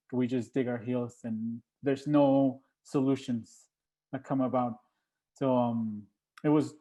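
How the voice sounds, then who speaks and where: A man giving heartfelt, informal remarks to a group in a halting, reflective way. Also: mid-range voice, warm tone, rough recording. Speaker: monologue writer and panel participant.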